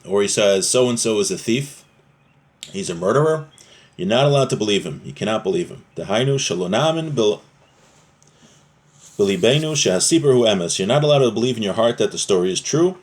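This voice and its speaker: A man giving an informal religious lesson, reading from a text and explaining it plainly as a teacher. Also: lowish voice. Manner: explanatory, restating points in plain words.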